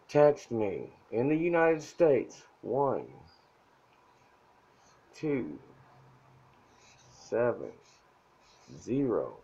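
A soft-spoken man talks straight at the listener, blunt and profane, pushing people to reach out for help. His voice sits at an ordinary middle pitch. The sound is dull and lo-fi, like a phone camera mic in a room. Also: sing-song, very slow, vocal fry, pauses often, breathy edge. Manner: blunt, profane, pushy pep talk. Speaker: self-help vlogger. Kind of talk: casual motivational talk to camera. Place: indoor room at a whiteboard.

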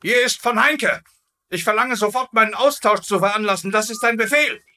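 Casting: haughty captive field marshal, high sharp voice, imperious sitcom villain. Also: male voice, fairly quick. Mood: demanding. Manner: haughty, commanding, clipped. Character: pompous, indignant captured German field marshal. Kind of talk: dubbed TV sitcom acting.

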